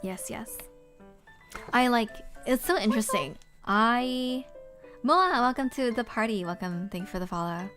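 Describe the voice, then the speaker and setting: A woman's voice: on the high side, unhurried, a bit bright, faint music behind. A woman casually chatting to her livestream viewers while sorting notebooks, rambling and laughing often. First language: Korean. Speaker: streamer.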